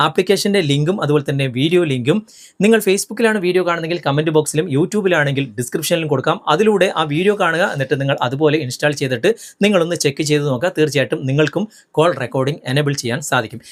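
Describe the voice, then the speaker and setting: Male tutorial host, Malayali accent, slightly high voice, very quick delivery, instructional and step-by-step. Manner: instructional, step-by-step, addressing viewers directly. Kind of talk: explanatory phone tutorial narration.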